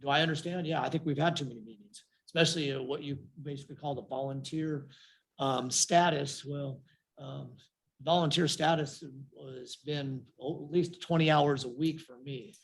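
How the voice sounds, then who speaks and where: Council chair, male, slightly high voice, halting and repetitive. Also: steady tone, vocal fry. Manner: hesitant, many restarts and fillers. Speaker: city council chair running a meeting. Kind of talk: halting meeting talk.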